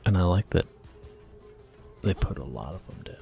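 A man softly whispering his way through a video game, gentle and unhurried, close to the mic. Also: low-pitched, animated, music in the background. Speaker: ASMR gaming host. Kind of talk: soft-spoken ASMR gameplay commentary.

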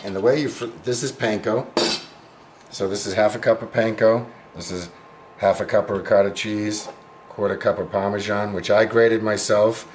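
Low-voiced man teaching home cooking. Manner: relaxed, explanatory, chatty with small asides. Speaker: home cooking show host. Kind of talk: casual cooking demonstration. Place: home kitchen. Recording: clear background kitchen noise. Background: clattering utensils and dishes.

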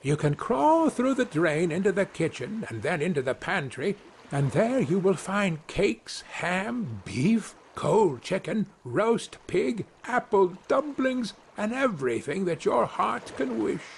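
Storybook role: tiny cheeky boy played by a man, high, swooping voice, bold and merry. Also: very animated, slow, vocal fry, slight background noise, exaggerated voice. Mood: tempting. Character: tiny plucky boy, cheerful, cheeky and boastful. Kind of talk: storybook character voice.

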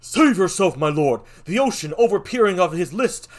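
A man voicing a panicked male messenger with urgent news, shouting frantically. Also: sits high, sing-song, normal speed, pitched above the performer's own voice. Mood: alarmed.

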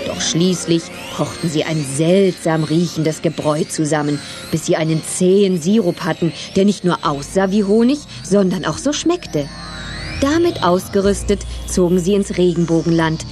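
A woman reads narration for a children's audio story. Her voice sits a little low. There is soft music in the background. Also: animated, normal speed.

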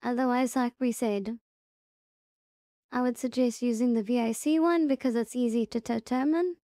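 High, mellow woman giving a tutorial. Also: steady tone, dry recording, studio quality. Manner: unhurried, step-by-step, thinking aloud. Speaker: tutorial presenter. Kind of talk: casual software tutorial narration.

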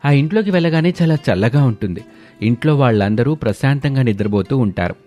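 A man narrates a family cartoon story with steady, plain pacing. His voice is mellow and dark, with faint music playing underneath. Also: mid-range voice, fairly quick.

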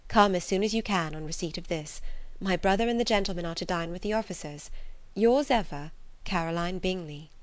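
A woman voicing a gentle, modest young lady in a classic novel audiobook, composed and soft-spoken. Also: lowish voice, fairly quick, no room sound, close to an everyday voice. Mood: polite.

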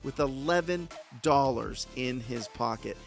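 Energetic, emphatic man hosting a podcast. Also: mid-range voice, unhurried, vocal fry, soft background music. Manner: energetic, emphatic, hypes the guest. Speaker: podcast host, real estate coach. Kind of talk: podcast interview. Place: studio set with mountain mural. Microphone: studio mic on boom arm.

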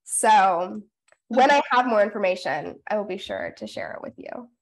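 A young woman in her twenties, a voice actress guest, chatting brightly and laughing easily on a video call from home. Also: mid-range voice, expressive, slight background noise, low-quality recording. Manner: bubbly, upbeat, quick to laugh. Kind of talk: casual video-call interview. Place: home room in front of blue backdrop. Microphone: webcam video call.

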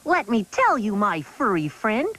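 A woman's voice: medium pitch, very animated, a little slow, loud music behind the voice. A woman voicing a small male cricket in a TV cartoon who is cheerful and very proud of his singing. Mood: boastful. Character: boastful little male cricket singer. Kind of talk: TV cartoon acting.

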